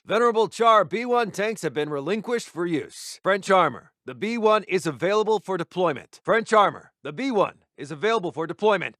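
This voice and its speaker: Strategy game intelligence officer: notably high male voice, formal and resolute, clipped rousing military delivery, very dry sound. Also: a bit bright, dry recording. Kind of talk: scripted game unit announcements.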